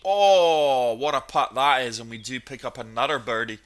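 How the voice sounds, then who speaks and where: A man's voice: mid-range voice, normal speed, a bit bright. A man with a cold, chatting enthusiastically through golf gameplay commentary. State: has a cold, sniffly. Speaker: gaming channel host.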